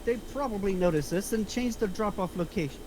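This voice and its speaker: Man playing a cocky, high-voiced immature slacker. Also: fairly quick, background noise, close to an everyday voice. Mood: alarmed. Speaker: actor at a table reading.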